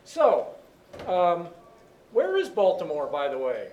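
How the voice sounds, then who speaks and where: A man lectures his class with patient explanations and questions. His voice sits a bit high for a man. He sounds distant, and the classroom echoes with faint ambient noise. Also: huge pitch swings, slow, darkish tone, highs cut off. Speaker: teacher. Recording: echoey classroom, far from the mic.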